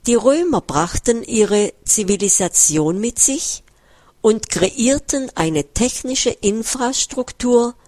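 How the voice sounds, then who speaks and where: A woman reading a first-person book text aloud in a measured, careful way, close to a studio mic. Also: fairly high pitch, animated, a little mellow, dry recording. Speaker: audiobook reader. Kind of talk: scripted first-person narration read aloud.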